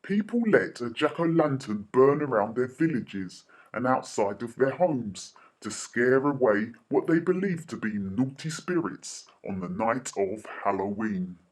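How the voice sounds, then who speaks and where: A man giving deliberate, expressive educational narration as a presenter for children. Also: mid-range voice, poor audio.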